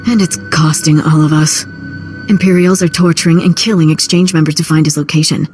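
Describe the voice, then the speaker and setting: An actress playing a brash, sardonic, streetwise crime broker in a video game. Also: female voice, deep voice, steady tone, fairly quick, natural voice. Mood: brisk, persuasive. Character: brash, sardonic female underworld fixer. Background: loud music.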